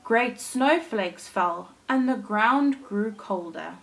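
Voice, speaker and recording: A woman with a mid-pitched voice reads a storybook to young children at a slow pace. She sounds like a primary teacher, deliberate and expressive, leaning on key words for drama. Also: creaky, rough recording. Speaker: primary school teacher reading to her class.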